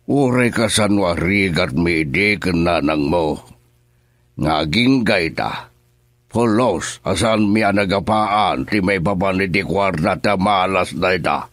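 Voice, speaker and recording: A man's voice: deep voice, slow pace, a bit bright, no echo, studio quality. An actor playing a worried, stern middle-aged father in a radio drama, talking earnestly and scolding. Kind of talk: Ilocano radio drama acting.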